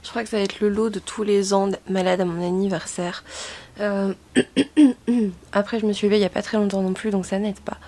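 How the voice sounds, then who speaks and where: A woman's voice: medium pitch, steady tone, moderate pace, mellow. A woman chatting casually to camera as a book vlogger, in a rambling, self-correcting way.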